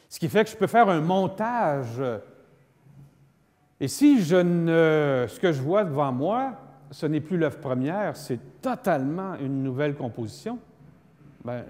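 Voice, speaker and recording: A man's voice: a little high, darkish tone. Quebec man lecturing in an echoing hall. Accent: Quebec French. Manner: didactic, acts out dialogue, emphatic repetition. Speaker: lawyer giving a lecture. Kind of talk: public lecture on law with spoken examples. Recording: long echoing hall reverb.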